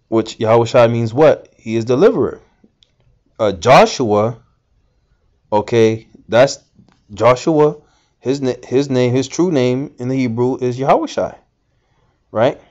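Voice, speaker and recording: A man's voice: medium pitch, animated, slow, long pauses, a little mellow, muffled recording. A man giving informal scripture teaching, rambling with many fillers and restarts. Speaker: Bible teacher.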